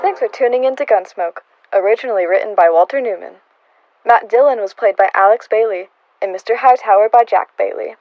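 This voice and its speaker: A woman's voice: mid-range voice, fairly quick, lo-fi sound, soft background music. A woman announcing scripted radio drama credits.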